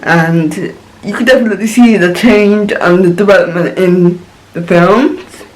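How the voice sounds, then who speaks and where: A man's voice: high voice, fairly even intonation, unhurried, low-quality recording. A man vlogging a casual film reaction, rambling and searching for words as he gushes.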